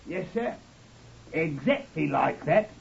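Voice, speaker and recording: A man's voice: a little high, animated, slow, vocal fry, full character voice. A man playing a plain-spoken, respectful lorry driver giving witness in an old-time radio crime drama. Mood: earnest, deferential. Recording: dull old radio recording, distant mic.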